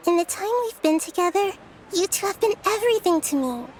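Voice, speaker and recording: A woman voicing a small, serene, childlike goddess in a video game, speaking in a measured, gently teasing way. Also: very high-pitched, lively intonation, a little hiss or hum. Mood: serene, earnest. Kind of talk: video game cutscene acting.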